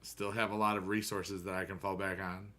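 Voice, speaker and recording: Man, thirties, american, casual stream chat, bright tense tone, lowish voice. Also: little pitch movement, a little fast. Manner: rambling, playful rhymes, upbeat.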